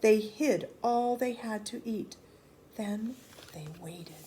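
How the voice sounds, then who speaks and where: A woman narrating a storybook for children in an expressive, deliberate, animated way. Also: fairly high pitch, noisy background. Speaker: children's read-aloud storyteller.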